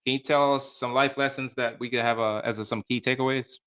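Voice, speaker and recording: Casual male podcast host, bright voice. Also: medium pitch, fairly even intonation, brisk. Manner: casual, friendly, often says "man". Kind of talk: conversational interview.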